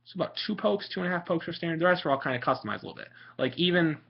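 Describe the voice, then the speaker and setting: A man's voice: expressive, racing, creaky, husky. A young man from Italy talking casually and sarcastically about game strategy, rambling with fillers. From Italian. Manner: sarcastic, mocking, frequent fillers. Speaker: vlogger. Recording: muffled low-fi home recording.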